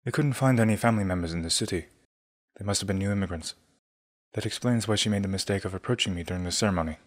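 Low-voiced weary young king played by a man. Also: racing, natural voice. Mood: grave, weary. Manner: grave, formal. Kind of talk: dramatic comic dub acting.

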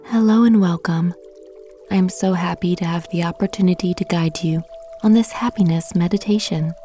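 A woman guiding a meditation slowly and soothingly with long pauses, her soft voice turning breathy at phrase ends. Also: fairly low pitch, steady tone, soft background music. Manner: slow, soothing, gentle instruction with long pauses. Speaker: meditation guide. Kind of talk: guided meditation.

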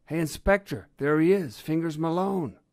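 A man's voice: lowish voice, moderate pace, no echo, clean studio sound, lightly acted. A man voicing an eager, insistent fox newspaper reporter in a storybook tale. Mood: excited. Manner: insistent, excitable.

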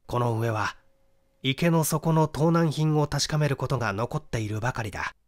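A man reading a literary detective story aloud as scripted narration, with an animated storytelling delivery. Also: mid-range voice, moderate pace. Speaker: audiobook reader.

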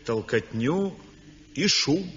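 A sincere young painter speaks in measured, soulful phrases in a 1960s radio drama. His voice sits at a middle pitch. The recording is dull and reverberant, with faint noise. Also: expressive, fairly bright tone. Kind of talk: 1960s radio play acting, reflective narration.

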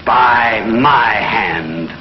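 An actor playing a gloating alien intelligence chief in a 1950s television sci-fi serial, pompous, theatrical and menacing. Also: male voice, lowish voice, very slow. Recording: muffled, noisy, echoey 1950s TV soundtrack.

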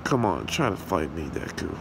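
A man's voice: sits low, normal speed, full character voice. A man voicing a possessive, cruel demon husband with a menacing, taunting manner in an ASMR roleplay. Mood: sinister, taunting. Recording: clearly noisy home recording, steady hum.

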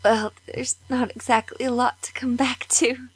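A woman playing a young cursed female beast, fierce yet tender, in a fan audio drama, with a breathy voice. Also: a little high, little pitch movement, moderate pace, no echo. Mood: weak, dying.

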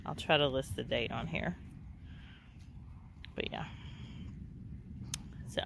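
Slow, chatty woman outdoors. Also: very deep voice, lively intonation, vocal fry, noisy background. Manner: chatty, laughs softly, talks to the goats. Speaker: goat breeder. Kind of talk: casual farm tour vlog. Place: outdoor goat pen on a farm. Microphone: handheld camera.

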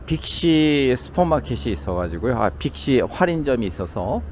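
A man's voice: medium pitch, sing-song, moderate pace, a little mellow. Man touring an outdoor hotel pool. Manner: conversational, frequent "자" and "뭐" fillers. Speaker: travel vlogger touring a hotel. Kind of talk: casual walking tour narration. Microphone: handheld camera mic. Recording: outdoor camera audio with traffic noise. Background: city traffic ambience.

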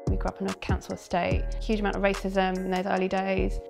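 A woman's voice: mid-range voice, completely flat delivery, fast, creaky, over music. A woman TV commissioning editor, reflective in a to-camera interview.

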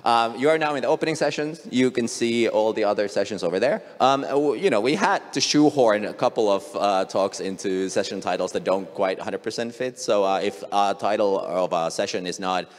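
A man's voice: on the low side, brisk, few pauses. A man in his thirties from Finland, hosting a conference on stage with a handheld mic, chatty and joking with lots of fillers. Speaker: conference host. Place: large conference hall stage. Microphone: handheld microphone. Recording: long hall reverb.

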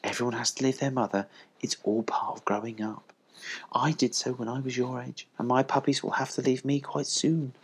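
A man's voice: on the high side, wildly swooping pitch, moderate pace, slightly rough, pitched above the performer's own voice, heavily acted. A man voicing a motherly female collie in a storybook, kindly but firm with her young. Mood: tender, soft.

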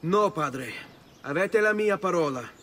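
Cocky young Italian charmer, lively pitch. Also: male voice, unhurried, a little hiss or hum. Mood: respectful. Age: young adult. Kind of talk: video game character acting.

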